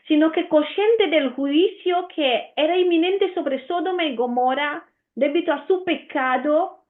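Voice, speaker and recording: Italian-accented woman teaching scripture, high. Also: narrow, tinny audio. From Romania. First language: Romanian. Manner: earnest, with frequent "eh" fillers and restarts. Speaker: Bible teacher. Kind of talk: Bible teaching.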